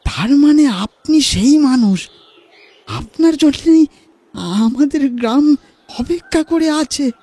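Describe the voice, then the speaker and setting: An actor voicing an awestruck, anxious villager in an audio horror drama, telling of troubles in a halting, dramatic way. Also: high voice, lively intonation, dark tone, no room sound, full character voice. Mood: awestruck, astonished.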